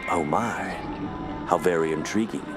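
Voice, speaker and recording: A man's voice: low-pitched, a little slow, music in the background. A man playing a courteous, scholarly archaeology professor with formal, intrigued speech in a period radio drama.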